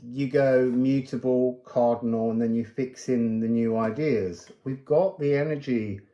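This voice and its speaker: Slow-paced, musing card reader in echoey room. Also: mid-range voice, fairly even intonation, mellow, highs cut off. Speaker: tarot and oracle card reader. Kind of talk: casual, rambling spiritual card reading. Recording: roomy, echoing sound.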